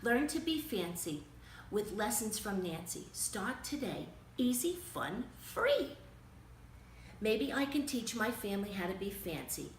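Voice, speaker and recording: A woman's voice: mid-range voice, sing-song, unhurried. A woman reading a children's story aloud in a theatrical, emphatic way. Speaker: storybook reader. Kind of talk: animated children's read-aloud. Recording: roomy, distant mic with faint noise.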